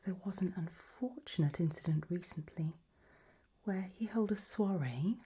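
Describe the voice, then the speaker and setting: A woman playing an elegant, macabre aristocratic lady in a whispered ASMR roleplay, breathy, languid and serenely gracious. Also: lowish voice, dark tone. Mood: gracious, darkly pleased. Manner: slow, languid, genteel.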